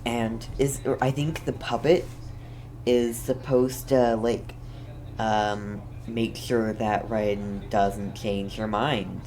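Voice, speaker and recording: A woman's voice: a bass voice, darkish tone. A woman streamer giving casual let's play commentary, thinking aloud in a hesitant way. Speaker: game streamer. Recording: faint background noise.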